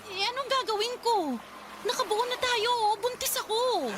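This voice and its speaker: Young pregnant woman, very high voice, pleading radio-drama delivery, hissy recording. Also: normal speed. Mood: hurt. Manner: emotional, pleading, tearful at times. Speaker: voice actor.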